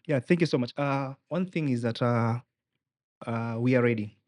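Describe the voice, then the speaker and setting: A man's voice: mid-range voice, moderate pace, a little mellow, dry recording, studio quality. A young man with a Kenyan accent, speaking as a political hopeful in an interview, earnest and rallying, slipping in Swahili phrases. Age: young adult. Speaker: political aspirant guest.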